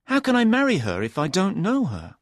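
A bold young hero's voice, pitched above the narrator's normal tone and swinging widely up and down as he questions and urges, as a male storyteller puts him on. It sounds dry and close. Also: wildly swooping pitch, brisk, no room sound, exaggerated voice. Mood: troubled.

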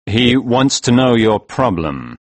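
A narrator voicing an evasive male island trader in an audio story, measured, placating and cool in manner. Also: medium pitch, very animated, no echo. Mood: guarded.

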